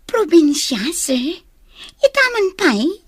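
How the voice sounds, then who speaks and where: An actress playing a nosy, suspicious office secretary in an Ilocano radio drama, inquisitive and expressive. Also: female voice, very high voice, takes it slowly, dry recording, studio quality, exaggerated voice. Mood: surprised, probing.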